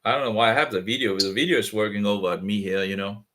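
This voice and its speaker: A man from Denmark with a Danish accent, talking in halting, rough-edged speech with restarts on a casual video call. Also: on the low side, fairly even intonation, pushed and bright. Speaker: video chat participant.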